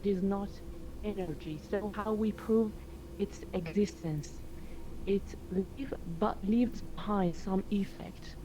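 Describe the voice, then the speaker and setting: Earnest Arabic-native woman, noisy line. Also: mid-range voice. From Iraq. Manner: earnest, slightly halting non-native English. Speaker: student, participant. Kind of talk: earnest explanation.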